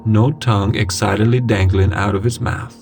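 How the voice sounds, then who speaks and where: A man reading a scary first-person story in a dramatic, suspenseful way with deliberate pauses. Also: lowish voice, steady tone, gravelly, darkish tone. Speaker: horror story narrator. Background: music.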